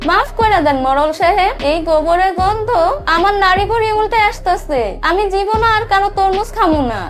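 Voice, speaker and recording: A woman's voice: very high voice, a little fast, over music. A woman voicing a greedy, scolding young village schemer in a comic cartoon, bickering in colloquial speech. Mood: pleading. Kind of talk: Bengali cartoon comedy acting.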